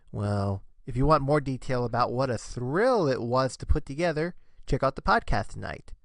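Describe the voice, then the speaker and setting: Male narrator, expressive scripted storytelling, wide-ranging intonation, dry close recording. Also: mid-range voice, no room sound.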